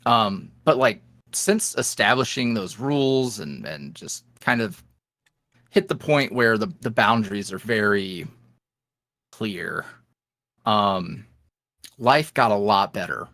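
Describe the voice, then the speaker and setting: A man's voice: slow, dry recording. A young man, an ASMR roleplay creator, chatting candidly as a guest in an interview. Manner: candid, animated, jumps up in pitch when quoting others. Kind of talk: casual interview chat.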